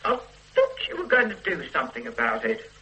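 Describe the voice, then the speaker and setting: An actress playing a fussy, complaining wife confined to bed with a bad back, in a radio drama. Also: female voice, low voice, wildly swooping pitch, brisk, bright, forceful tone, full character voice, rough recording. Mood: peevish. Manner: fussy, petulant and demanding. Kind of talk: 1970s radio drama acting. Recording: old radio recording with faint hiss.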